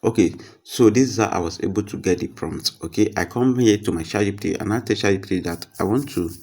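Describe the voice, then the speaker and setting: Guy with a low raspy voice doing a casual how-to, reassures you, repeats himself a lot. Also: fast, darkish tone. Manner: repetitive, reassuring, addresses viewers directly. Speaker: tutorial presenter. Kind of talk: casual screen-recorded tutorial.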